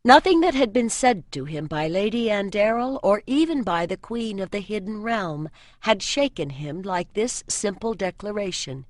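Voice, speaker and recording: A woman reading scripted fantasy narration as an audiobook narrator, with measured storytelling. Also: medium pitch, animated, no echo, studio quality.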